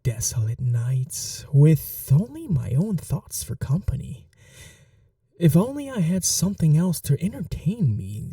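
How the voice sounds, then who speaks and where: Man playing a slow, dark-voiced sadistic vampire lord. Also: on the high side, no echo, clean studio sound, distant mic, full character voice. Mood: seductive, possessive. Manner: theatrical, taunting, courtly, drawn-out phrasing. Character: sadistic, possessive male vampire lord, silkily menacing. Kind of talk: dominant ASMR roleplay acting.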